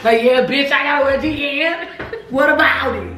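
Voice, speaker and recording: A woman's voice: high-pitched, moderate pace, bright, forceful tone, reverberant, soft background music. A young woman hosting an eating video from her home kitchen, chatting casually, loose and giggly, swearing freely. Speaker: mukbang host. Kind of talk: casual chat while eating.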